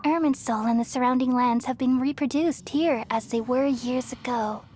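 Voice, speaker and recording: A woman voicing a serene, wise goddess in a young girl's form, solemn and calm, in an English anime game dub. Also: high voice, moderate pace, a little hiss or hum, lightly acted.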